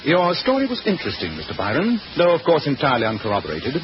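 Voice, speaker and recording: Incisive gentleman detective, very varied intonation, old noisy radio drama sound. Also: fairly high pitch, wildly swooping pitch, quick pace, muffled recording. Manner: crisp, probing, dryly sardonic.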